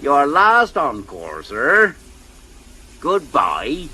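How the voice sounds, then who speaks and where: A man's voice: on the high side, huge pitch swings, exaggerated voice. An actor playing a gloating, mocking Victorian gunman villain, in a radio drama. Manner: mocking, drawn-out. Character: sneering, gloating male Victorian villain with a gun. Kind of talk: 1970s British radio drama acting. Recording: noisy 1970s radio broadcast recording.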